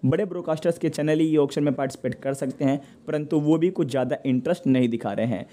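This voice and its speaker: A man's voice: on the high side, quick pace, mellow. A man hosting a news update, narrating informally and speaking directly to viewers in a conversational way. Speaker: host of a TV channel news update show.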